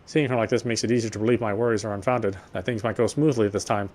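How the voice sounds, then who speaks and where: An actor playing a guarded young policeman who narrates his thoughts in a measured, wry voice for a voiced visual novel. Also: on the low side, fairly even intonation, darkish tone, close to an everyday voice. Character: guarded young male cop, dry inner monologue. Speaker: police officer. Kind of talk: first-person game narration.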